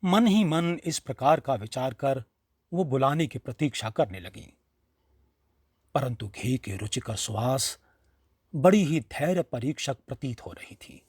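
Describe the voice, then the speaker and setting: A man reading a literary short story as its narrator, in an expressive storytelling style. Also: medium pitch, husky, dry recording, clean studio sound.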